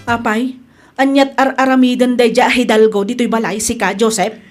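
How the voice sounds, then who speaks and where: A woman playing a haughty, sharp-tongued wife hostile to her in-law in an Ilocano-accented radio drama. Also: a little high, flat delivery, normal speed, music in the background, close to an everyday voice. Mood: annoyed.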